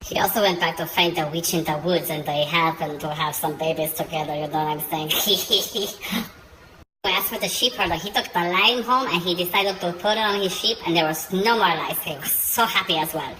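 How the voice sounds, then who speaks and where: A woman's voice: lowish voice, normal speed, noisy background. A woman narrating an improvised comic fairy tale in a chatty, playful way. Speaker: storyteller.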